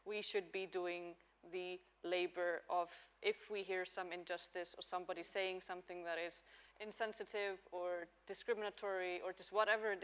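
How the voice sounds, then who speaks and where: Woman, Icelandic native speaker, panelist, earnest, low flat voice. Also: clear, resonant tone. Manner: earnest, deliberate, slows to stress points. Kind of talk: panel discussion.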